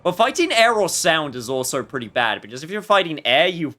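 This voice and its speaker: A man giving casual, rambling, jokey live commentary while he plays a video game, with animated outbursts. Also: fairly high pitch, brisk. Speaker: streamer playing a video game.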